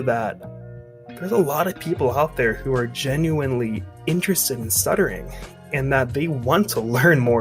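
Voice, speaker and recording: Young man who stutters, guitar behind. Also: mid-range voice. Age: 20s. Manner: stutters with repetitions and blocks. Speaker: speech-language pathologist and YouTuber who stutters. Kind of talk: personal recorded testimony.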